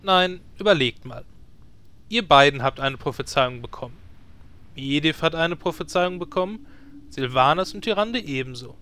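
A man's voice: on the high side, animated, normal speed, bright, forceful tone, smooth. A man playing an earnest hero who reasons insistently in a fantasy parody audio drama. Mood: urgent.

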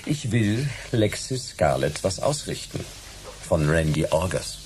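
A man's voice: low-pitched, big pitch swings, measured pace, a little mellow, a little hiss or hum. A man playing a brash, wisecracking private detective in a radio play, with a flippant, terse manner. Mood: cool, flippant.

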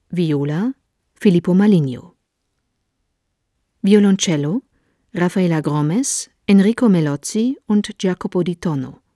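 Woman reading radio play credits, slow and formal, dark soft timbre, dry studio sound. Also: lowish voice, no echo. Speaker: radio announcer. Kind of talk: scripted credits announcement for radio drama.

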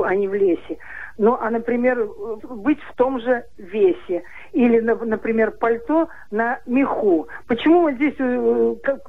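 A woman calling a radio show, asking hesitantly about grammar with many pauses. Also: a little high. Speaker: caller. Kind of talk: asking a question by phone. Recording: tinny phone line.

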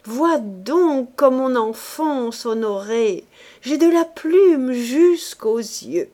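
Woman reader voicing a shrill, loud, greedy woman; high and swooping. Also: animated, drawn-out words, heavily acted. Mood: gloating. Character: brash, greedy woman, tipsy and gloating.

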